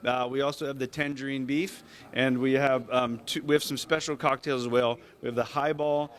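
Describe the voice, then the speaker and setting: Man, chef, mid-pitched voice, relaxed explainer. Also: moderate pace. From United States, Thai Chinese family. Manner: relaxed, explanatory, checks in with "right?" and "okay?". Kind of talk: explaining a menu to press.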